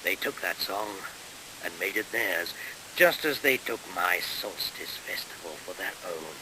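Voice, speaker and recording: An actor playing an ancient, solemn and menacing midwinter shaman spirit in a radio play, speaking in incantatory, breathy half-whispers with dramatic pauses. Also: low-pitched, lively intonation. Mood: bitter, resentful. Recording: hissy 1970s radio recording.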